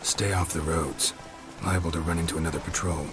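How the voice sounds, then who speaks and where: An actor playing a stoic middle-aged monster hunter in a video game, with a low gravelly voice and a terse, deadpan manner. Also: male voice, brisk, music in the background, close to an everyday voice. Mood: calm.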